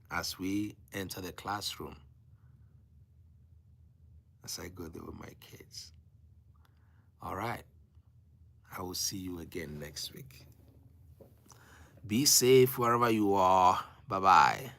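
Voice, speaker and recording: A man with a Nigerian accent and Igbo as his native language, speaking as a teacher exhorting live-stream viewers in an emphatic, preaching way with repeated phrases and deliberate pauses. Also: sits low, creaky, pushed and bright. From Africa.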